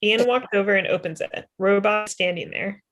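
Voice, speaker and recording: A woman's voice: medium pitch, normal speed, a bit bright. Plain-spoken young woman reading stage directions. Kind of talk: scripted narration at a table read. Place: indoor room at home. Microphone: video call.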